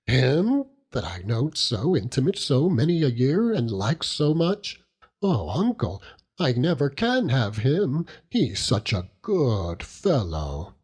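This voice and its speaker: A man's voice: mid-range voice, big pitch swings, slow pace, darkish tone, breathy edge, full character voice. A man voicing a bashful, affectionate young working-class woman in an audiobook, earnest and tender. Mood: regretful.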